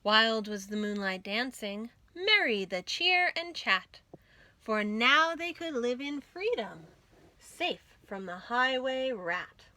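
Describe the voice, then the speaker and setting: Female storytime reader, measured pace, bright and expressive, rhythmic children's verse. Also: a little high, dry recording. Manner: deliberate, rhythmic verse reading.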